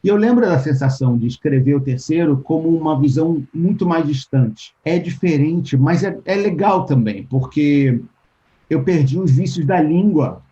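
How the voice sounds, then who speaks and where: A Brazilian man with a fairly high but mellow voice, heard over dull, lo-fi call audio. He talks slowly and reflectively, restarting sentences and acting out old conversations as he goes. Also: expressive. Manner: thoughtful, restarts often, acts out quoted exchanges. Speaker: interview guest, writer. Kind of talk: casual interview talk.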